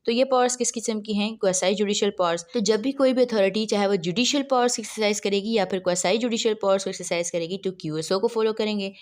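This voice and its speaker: A woman giving a law lecture, asking herself questions and then answering them, and reading English legal text out slowly. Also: medium pitch, darkish tone. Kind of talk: recorded lecture.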